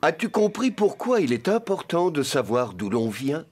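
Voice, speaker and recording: A man voicing a wise, fatherly cartoon mentor, asking in a measured, teaching way. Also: on the high side, sing-song, darkish tone, husky. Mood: gently instructive.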